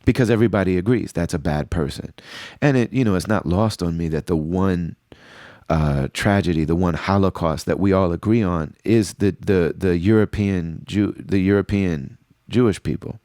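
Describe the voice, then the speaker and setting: Deep-voiced man, earnest solo reflection. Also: normal speed, dark tone, no echo, clean studio sound. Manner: earnest, repeats phrases, frequent "you know what I'm saying". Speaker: podcast host.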